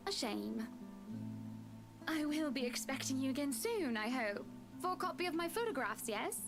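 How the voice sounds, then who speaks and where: A woman's voice: high-pitched, very animated, normal speed. A woman playing a genteel, sweetly teasing young madam in a visual novel, with formal, old-fashioned phrasing. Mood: sweet, courteous. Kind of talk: visual novel character dialogue. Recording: guitar music over the voice.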